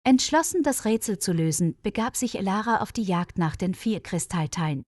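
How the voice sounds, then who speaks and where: A woman's voice: medium pitch, expressive, moderate pace, a little mellow, no echo. A woman storyteller reading a scripted fairy tale as narration.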